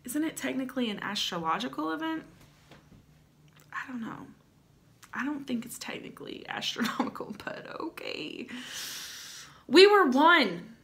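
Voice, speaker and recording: A woman's voice: sits high, big pitch swings, unhurried, vocal fry, long pauses, fairly bright tone. A young adult woman hosting a comedic dramatic reading with chatty asides, in a playful, wry manner with theatrical emphasis. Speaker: host. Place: small indoor room at home.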